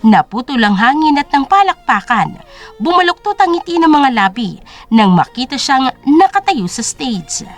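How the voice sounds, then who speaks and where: A woman's voice: high voice, big pitch swings, normal speed. A woman storyteller reading dramatic scripted narration for an audio drama. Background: music.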